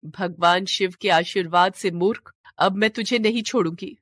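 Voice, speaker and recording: A woman's voice: fairly low pitch, moderate pace, fairly bright tone, no echo. A woman voicing a devout, proud serpent queen who pleads and defies with dramatic emotion in a fantasy story. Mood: defiant. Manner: impassioned, dramatic pleading and defiance.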